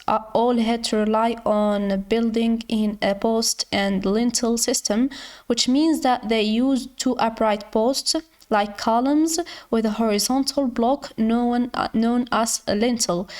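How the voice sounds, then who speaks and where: High-voiced woman, non-native English speaker. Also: darkish tone. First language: not English. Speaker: tutorial host. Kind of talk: informal screen-share tutorial.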